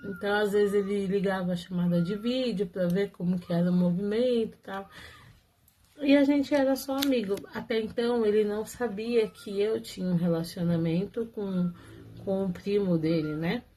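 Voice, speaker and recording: A woman's voice: medium pitch, normal speed, mellow, a little hiss or hum, poor audio. A woman telling viewers her own love story in a casual, chatty way, often laughing and talking straight to them.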